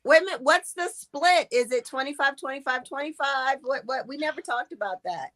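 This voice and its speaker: A woman's voice: sits high, animated, a little fast, fairly bright tone. A woman co-hosting a casual talk show, chatty and playful, with a cold. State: has a cold.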